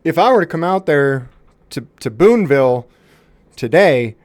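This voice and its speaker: A man's voice: on the high side, animated, unhurried, no echo. A man making a prank phone call to a police department, mock-serious and faux-sincere, often restarting his sentences.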